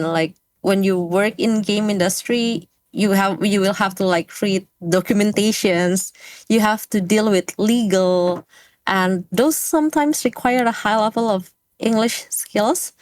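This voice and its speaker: A woman from Indonesia with an Indonesian accent, an interview guest speaking English as a second language, pausing often with fillers as she searches for words. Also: medium pitch, darkish tone, no room sound. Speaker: guest, game industry speaker. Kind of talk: casual interview talk.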